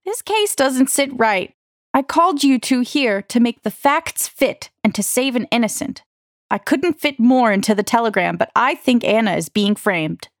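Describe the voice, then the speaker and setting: A brisk, no-nonsense policewoman, played by a woman who gives curt, level orders. Her voice sits slightly high, and she reports facts plainly. The recording is close and dry. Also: lively intonation, dry recording. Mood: firm. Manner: firm, curt. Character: firm female police officer, no-nonsense and brisk. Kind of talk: audio drama acting.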